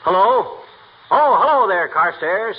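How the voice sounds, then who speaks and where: An actor playing a boastful, blustering small-town husband in a 1940s radio comedy, with folksy, wisecracking, slangy talk. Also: male voice, sits high, lively intonation, a little slow. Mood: self-important. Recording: noisy, muffled 1940s radio recording.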